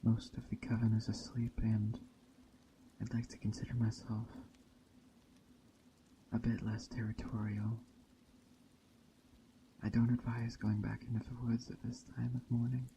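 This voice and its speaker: A man playing a calm, hospitable witch of a woodland coven in a gentle, unhurried ASMR roleplay that drifts into soft whispers. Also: mid-range voice, vocal fry, background noise. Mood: welcoming, calm. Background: rain and forest ambience.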